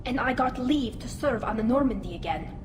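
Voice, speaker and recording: A woman's voice: fairly high pitch, expressive, brisk. A woman playing an earnest, anxious and loyal young alien engineer in a video game, speaking through a helmet filter. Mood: worried, sincere. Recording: roomy game audio with music behind.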